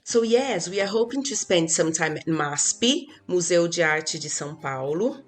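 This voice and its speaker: A woman's voice: on the low side, animated, moderate pace, soft background music. A woman from Brazil, a native Portuguese speaker, reading her part as a guest in a scripted podcast conversation.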